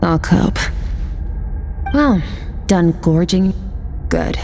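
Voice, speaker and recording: An actress playing an aloof, proud woman warrior in a video game, speaking in a deadpan, condescending, clipped way. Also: fairly low pitch, extremely slow, heavily acted. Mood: cool, explanatory. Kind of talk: dramatic video game voice acting. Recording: music behind the voice.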